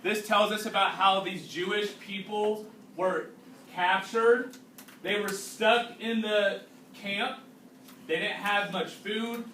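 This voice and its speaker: A man reading a storybook aloud to a class as their teacher, pausing to explain and ask questions. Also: high-pitched, steady tone, pushed and bright. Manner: instructive, checking understanding with questions. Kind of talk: read-aloud with explanatory asides and questions to pupils. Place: indoors, classroom. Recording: roomy, distant mic, faint background noise.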